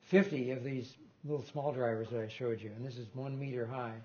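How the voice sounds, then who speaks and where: A man's voice: mid-range voice, fairly even intonation, a little fast, warm tone, dull audio. An older man giving an informal technical talk in a lecture room, rambling and correcting himself with small chuckles. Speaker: guest lecturer on loudspeaker design.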